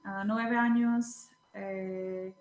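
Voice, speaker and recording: A young woman with a Czech accent, a teacher and blogger answering interview questions in a hesitant way, often pausing to search for words. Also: medium pitch, fairly even intonation, echoey room, muffled recording. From Czech Republic. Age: young adult.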